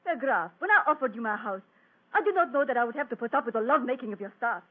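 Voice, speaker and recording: Snooty dramatic madame, high raspy voice, always outraged, muffled vintage radio play. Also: female voice, animated, quick pace. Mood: indignant, scornful. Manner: melodramatic, indignant. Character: haughty, hot-tempered foreign madame, theatrical and imperious. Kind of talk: 1940s radio acting. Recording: muffled, lo-fi old radio recording.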